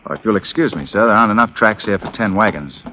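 An actor playing a bold, confident Union officer in the Old West, brisk and commanding, in 1940s radio style. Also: male voice, lowish voice, animated, bright, forceful tone, highs cut off. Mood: assured, respectful. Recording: noisy 1940s radio broadcast.

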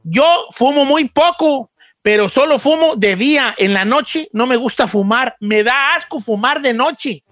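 A man doing a high folksy old rancher host. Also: lively intonation, measured pace, fairly bright tone, no room sound, studio quality, heavily acted. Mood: folksy joking. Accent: Mexican Spanish. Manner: joking, stammering, folksy. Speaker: radio show host. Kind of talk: comedic radio chat.

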